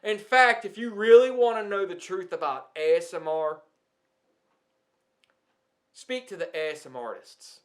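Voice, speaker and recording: A man's voice: sits high, unhurried, pauses often. A man who makes ASMR videos, delivering an indignant, emphatic rant that scolds and repeats phrases for effect. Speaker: ASMR content creator. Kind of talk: indignant rant addressed to the platform.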